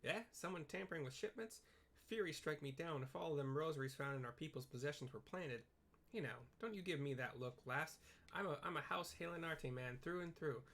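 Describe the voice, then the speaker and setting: A man's voice: a little high, a little fast, fairly bright tone. A man voicing a blunt, loyal working-class quartermaster in a fantasy game, talking in a folksy rustic dialect. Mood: indignant.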